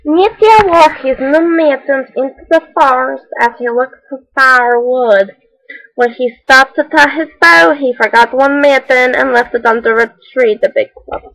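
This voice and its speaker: Woman putting on a high-voiced quirky storybook reader, mock foreign accent. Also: normal speed, gravelly, a bit bright, rough recording. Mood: quirky storytelling. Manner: exaggerated storytelling with odd, solemn asides. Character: Jo, quirky, earnest children's read-aloud hostess. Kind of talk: parody storybook read-aloud with deadpan asides.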